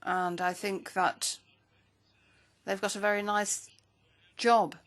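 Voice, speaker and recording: A woman interpreting a speech into English at a parliamentary hearing, with a steady, measured delivery. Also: medium pitch.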